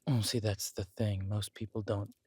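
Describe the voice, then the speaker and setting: A man's voice: on the low side, little pitch movement, moderate pace, darkish tone, husky, no echo. A man playing a scheming, flirtatious villain king in an intimate roleplay audio drama, with a languid, teasing, menacing delivery. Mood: teasing, amused.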